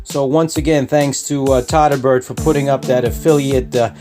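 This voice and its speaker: A man giving a casual hands-on product review as the host, speaking in a hesitant, halting way. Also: mid-range voice, steady tone, over music.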